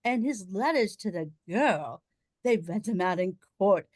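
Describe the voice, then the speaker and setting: A woman playing a breezy, teasing upper-class gentleman in a comic period radio play, jaunty and mocking with posh old-fashioned diction. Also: mid-range voice, lively intonation, unhurried, no room sound, studio quality. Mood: gleeful mockery.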